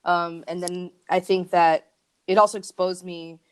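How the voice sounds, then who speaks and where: A woman's voice: on the low side, flat delivery, a little slow, clear, resonant tone. A woman from Argentina and the United States speaking as a podcast guest, telling personal stories in a casual, candid, slangy way full of likes and you-knows. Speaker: podcast guest, creative working from home.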